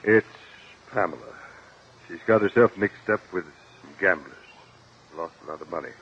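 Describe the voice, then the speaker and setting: An actor playing a stern older judge and strict uncle, commanding and scolding, in a 1950 radio drama. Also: male voice, sits low, moderate pace, slightly rough. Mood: troubled, weary. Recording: muffled vintage 1950 radio recording.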